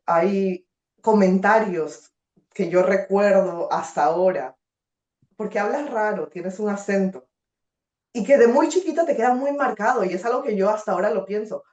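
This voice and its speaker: A young Peruvian woman with a Peruvian Spanish accent, a guest telling her story in a casual interview, expressive and full of fillers and restarts. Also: medium pitch, normal speed. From Peru. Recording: roomy, slightly dull sound.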